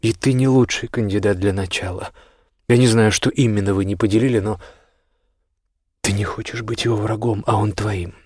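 A man's voice: lowish voice, steady tone, moderate pace, a little mellow, slightly rough. A man voicing a firm, frank marquis in an audiobook, speaking sternly and insistently as a concerned friend.

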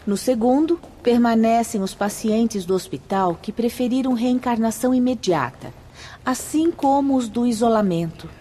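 A woman's voice: a little high, mellow, slight background noise, natural voice. A woman with a Brazilian accent playing a serene, courteous spirit sister in a radio drama, speaking formally. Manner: measured, formal.

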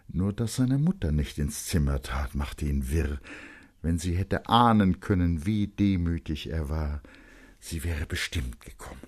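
A man narrating literary fiction in a dramatic, expressive and measured storytelling style. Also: extremely low pitch, slightly rough.

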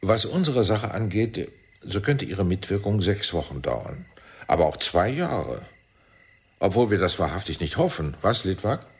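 A man acting a shrewd, persuasive intelligence team leader in a German radio play, speaking deliberately and insistently. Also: low-pitched, big pitch swings, slightly rough, exaggerated voice. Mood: reassuring.

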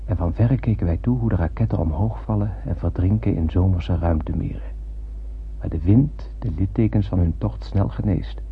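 Husky wistful spaceman narrating radio drama, lyrical, tinny old broadcast sound. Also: deep voice, full character voice. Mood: lyrical wonder. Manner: lyrical, deliberate, declamatory. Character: wistful spaceman narrator recalling his voyage with poetic wonder.

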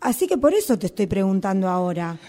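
A woman's voice: medium pitch, sing-song, fast, warm tone. A woman with a Rioplatense accent playing an indignant employee who confronts her boss with insistent questions, in amateur radio theatre. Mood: worried, insistent. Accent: Rioplatense Argentine Spanish.

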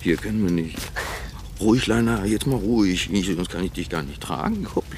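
A man's voice: sits low, expressive, normal speed, darkish tone, a little hiss or hum. An actor in a radio crime drama playing a vain, desperate middle-aged male politician, agitated and muttering in broken phrases. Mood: breathless muttering. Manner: agitated, fragmented, muttering to himself. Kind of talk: naturalistic German radio play acting.

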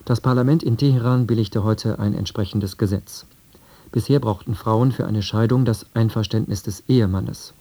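A man reading a scripted radio news and weather bulletin in a sober, measured manner. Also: fairly low pitch, fairly even intonation, dark tone. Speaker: radio newsreader.

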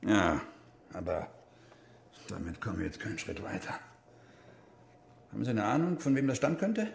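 A stern, disappointed army major of middle age in a German radio play. His voice is gravelly and swings widely in pitch, and he presses with paternal menace and short probing questions. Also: very animated, moderate pace, a little hiss or hum, heavily acted. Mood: urgent. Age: middle-aged. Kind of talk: German radio crime drama acting.

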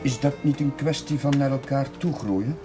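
An actor playing a gentle, flustered, self-mocking young village priest in a television period drama, speaking hesitantly. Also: male voice, medium pitch, moderate pace, lightly acted. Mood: subdued, earnest. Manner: hesitant, stammering. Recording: music behind, 1970s television soundtrack.

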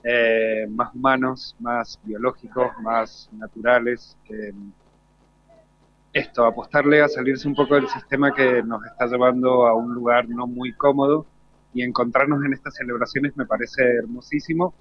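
A man with an Argentine accent speaks as an arts teacher in a live interview. His voice is of ordinary pitch and his talk is unscripted and reflective, heard through slightly muffled, lo-fi audio. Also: steady tone, moderate pace. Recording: dull lo-fi live broadcast sound.

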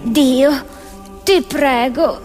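A woman's voice: high voice, extremely slow, music in the background. A woman voicing an agitated, pleading rebel abbess in satirical puppet theatre, wailing melodramatically. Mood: desperate pleading.

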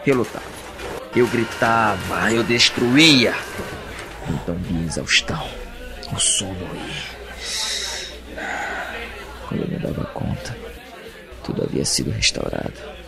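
A man with a Brazilian Portuguese accent playing an anguished captive in an audio drama, narrating his imprisonment in a brooding, deliberate monologue. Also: deep voice, very animated, vocal fry, exaggerated voice. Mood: frustrated, intense, then weary, resigned. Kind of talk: dramatic first-person monologue. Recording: music bed under the voice.

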